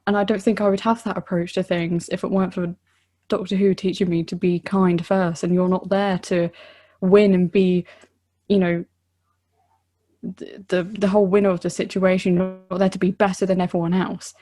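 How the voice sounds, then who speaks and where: A woman's voice: on the low side, little pitch movement, moderate pace, warm tone. A woman from southern England, a podcast guest speaking earnestly and reflectively, with many "you know" fillers. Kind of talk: casual podcast chat.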